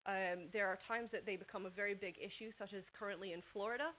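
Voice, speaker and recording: Fast-talking New England woman lecturing. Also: medium pitch, steady tone, a bit bright, slight background noise, low-quality recording. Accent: New England American. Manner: quick, chatty, many ums. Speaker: conference speaker. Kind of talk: academic conference talk. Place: large university lecture hall. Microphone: table microphone at a panel desk.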